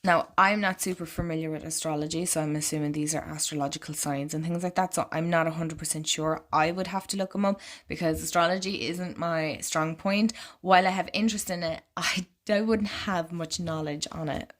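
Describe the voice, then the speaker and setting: A woman vlogger walking through an oracle card deck in casual, rambling chat, her voice slightly croaky and congested. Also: deep voice, fairly even intonation, a little fast, hardly any pauses. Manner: chatty and rambling, lots of "like".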